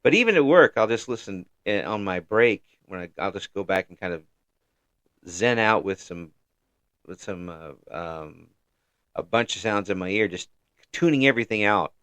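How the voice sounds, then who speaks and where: A man in his fifties hosting a casual chat interview, talking in a halting, rambling way with repeated words. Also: fairly low pitch, expressive, fairly bright tone, smooth, no echo. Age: 50s. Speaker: interview show host.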